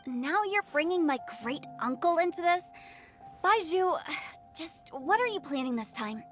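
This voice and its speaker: A woman's voice: very high-pitched, expressive, a little slow, a bit bright, full character voice. A woman playing a sly, playful teenage funeral director in a video game, with theatrical, probing delivery. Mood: suspicious. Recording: music behind the voice.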